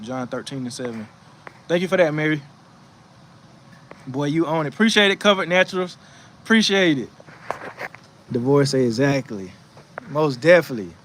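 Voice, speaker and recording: A man's voice: medium pitch, fairly bright tone, a little hiss or hum. A man hosting a faith livestream from his porch, giving casual spiritual encouragement and reading the chat, with a chatty, preachy manner full of restarts. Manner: preachy and conversational, frequent restarts and "you know what I'm saying". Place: porch outdoors, sitting in a rocking chair.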